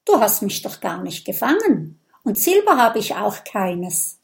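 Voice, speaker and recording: A woman's voice: mid-range voice, sing-song, measured pace, vocal fry. A woman voicing a small, friendly young dragon who speaks in a matter-of-fact, lightly teasing way. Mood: curious.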